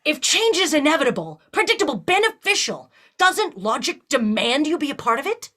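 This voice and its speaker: A bold female starship captain, acted by a woman in a sci-fi audio drama. Her voice is high and bright, swinging widely as she makes emphatic, persuasive points with theatrical flair. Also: big pitch swings, fairly quick, full character voice. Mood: impassioned. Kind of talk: fan audio drama acting. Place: remote virtual production.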